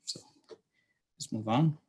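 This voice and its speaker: A middle-aged man from Chile with a Chilean Spanish accent, giving a slide presentation to a class in a halting way with many fillers and restarts. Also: darkish tone. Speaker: city transportation planner presenting to a class.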